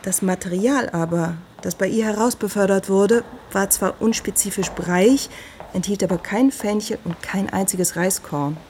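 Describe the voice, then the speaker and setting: An actress in a radio drama playing a brisk, frank hospital doctor who talks in a direct, matter-of-fact way. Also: female voice, mid-range voice, a little mellow, slight background noise, lightly acted. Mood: matter-of-fact, concerned.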